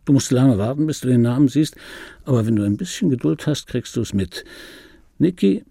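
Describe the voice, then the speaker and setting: An ageing dubbing actor looking back with wry obsession in a radio play. The voice is mellow and dark, with a heavy creak and a husky rasp. He weighs each phrase, pausing and repeating himself in an intimate monologue. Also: medium pitch, expressive, no room sound, clean studio sound. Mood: musing, precise. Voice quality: gravelly, husky. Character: ageing male dubbing actor recalling his screen star, wry, obsessive.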